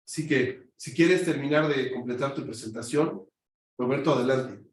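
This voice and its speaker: A man's voice: medium pitch, moderate pace. A man teaching a university class, casually calling his students closer and introducing a guest. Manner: informal, coaxing students to come closer. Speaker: university teacher, host of the session. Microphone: far room mic. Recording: echoey classroom from far off.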